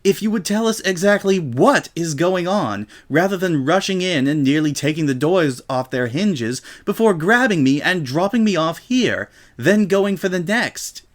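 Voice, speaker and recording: A man's voice: a little high, expressive, moderate pace, hardly any pauses, pitched above the performer's own voice, close to an everyday voice. A man voicing a refined, diplomatic lady unicorn pony who speaks in careful, formal phrases in a story reading. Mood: polite reproach.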